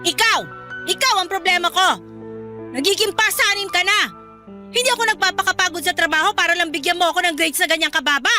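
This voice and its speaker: Fed-up strict mom, super high sharp voice, scolding, radio drama. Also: female voice, fast talker, faint music behind. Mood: angry. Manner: scolding, emotional, forceful. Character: exasperated, strict, scolding single mother of a college son.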